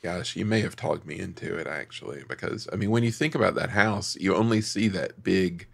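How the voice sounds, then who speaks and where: Low gravelly man in casual chat. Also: brisk. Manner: hesitant, thinks aloud with many restarts. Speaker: podcast co-host. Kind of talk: casual film review chat.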